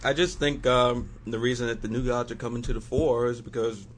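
A man's voice: mid-range voice, fairly even intonation, fairly quick, slight background noise. A man on a podcast panel chatting casually and telling anecdotes.

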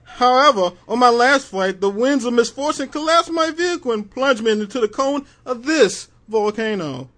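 Man putting on a high-voiced, pompous comic-book villain. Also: lively intonation, normal speed, few pauses, a bit bright. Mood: dramatic recounting. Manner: boastful, theatrical declaiming. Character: pompous, grandiose immortal male comic-book villain bent on conquest. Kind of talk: amateur dramatic reading.

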